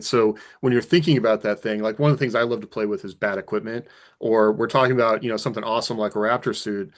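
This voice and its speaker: A man co-hosting a casual panel discussion about writing, thinking aloud with enthusiasm. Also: mid-range voice. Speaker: podcast co-host and author.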